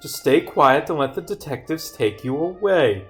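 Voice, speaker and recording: A domineering accuser from a visual novel, acted out by a man, scolding and theatrical. Every line is pitched as melodrama over faint game music. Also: animated, normal speed, close to an everyday voice. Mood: cold scolding. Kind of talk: reading visual novel dialogue aloud.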